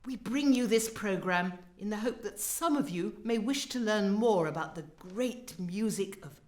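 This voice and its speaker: A woman's voice: medium pitch, lively intonation, a little slow, darkish tone, natural voice. A woman playing an imperious, exacting opera company director in a radio play, speaking formally and precisely with pomp. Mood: gracious, formal.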